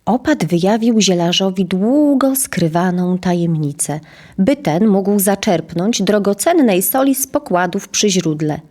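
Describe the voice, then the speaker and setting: A woman's voice: mid-range voice, lively intonation, measured pace, a little mellow. A woman narrating a scripted historical tale as the storyteller of an audio drama.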